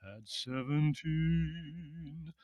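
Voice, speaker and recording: A man voicing a tipsy, sentimental British gentleman for an audiobook, with a very British baritone. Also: takes it slowly, no room sound. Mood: sentimental singing.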